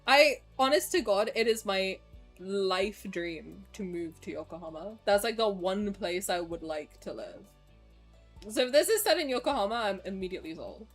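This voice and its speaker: A woman's voice: mid-range voice, breathy edge. A woman in her twenties streaming a game, chatting casually and animatedly with viewers, sometimes swearing and reading game text aloud. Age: 20s. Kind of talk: casual live-stream gameplay chat.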